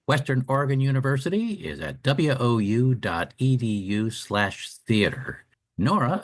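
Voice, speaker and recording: A man's voice: fairly low pitch, a bit bright. A man co-hosting a radio talk show, delivering scripted announcements and interview questions.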